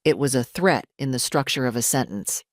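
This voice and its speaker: A woman with a low voice narrates a scripted story. The sound is dry, with hardly any room on it. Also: animated, brisk, no room sound.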